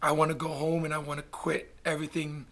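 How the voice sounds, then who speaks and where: Canadian guy telling his story, blunt and swearing, kinda high-pitched, loose and animated. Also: fast. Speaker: guest, basketball player. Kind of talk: casual interview storytelling.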